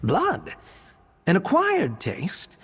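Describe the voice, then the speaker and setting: Bookish young vampire gentleman, husky voice, very lively pitch, courteous and earnest, formal game dialogue, long reverb. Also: drawn-out words, heavily acted. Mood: wry, savoring. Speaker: game character, arcane investigator. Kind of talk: scripted game character monologue.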